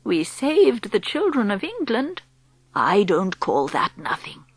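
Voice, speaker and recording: A woman voicing a gentle, wise grandmother in her eighties, speaking slowly in a soft, whispery hush. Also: medium pitch, huge pitch swings, slightly rough, no room sound, exaggerated voice. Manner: slow and hushed.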